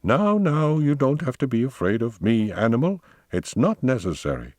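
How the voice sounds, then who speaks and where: A man's voice: mid-range voice, sing-song, normal speed, darkish tone, dry recording, pitched above the performer's own voice. A man voicing a lonely, domineering dog owner from a storybook, speaking in a condescending, commanding and theatrical way. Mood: patronizing. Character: lonely domineering man, patronizing, menacing, maudlin with his dog.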